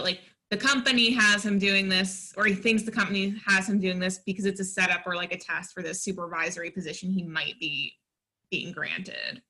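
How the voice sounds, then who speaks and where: Bright, forceful woman discussing a script. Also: mid-range voice. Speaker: readers theatre workshop participant. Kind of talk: giving script feedback in a group discussion.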